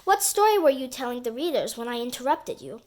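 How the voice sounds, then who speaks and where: A woman voicing an excitable, naive, goofy boy from a children's storybook, eager and expressive. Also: high-pitched, brisk.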